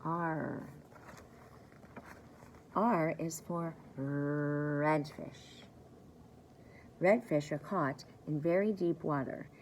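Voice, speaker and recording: A woman with a low, creaky voice reads a children's book at a very unhurried pace. She speaks like a preschool teacher at story time, spelling things out simply and repeating key words. Faint noise sits behind her. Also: darkish tone. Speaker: pre-K teacher reading aloud.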